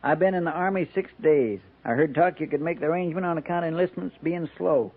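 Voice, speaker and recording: Insolent frontier jailbird in uniform: surly, creaky, rustic, tinny old radio sound. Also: male voice, a little high, fast. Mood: sullen. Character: surly jailbird army recruit, small and sullen. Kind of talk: 1950s radio western acting. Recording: tinny 1950s radio broadcast.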